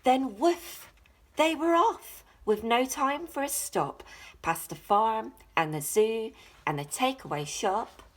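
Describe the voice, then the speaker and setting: Lady teacher reading a rhyming kids story, super animated, slow and deliberate, bit husky. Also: fairly high pitch. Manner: dramatic, deliberate, rhythmic rhyme reading. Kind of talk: expressive children's picture book narration.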